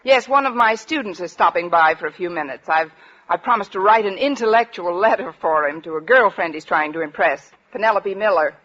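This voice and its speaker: An actress playing a sharp-witted, tired high school teacher with a wry, wisecracking manner in a 1940s radio comedy. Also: female voice, fairly quick, lightly acted. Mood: dry, wry. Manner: wry wisecracks, flustered under pressure. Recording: noisy, muffled 1940s radio broadcast.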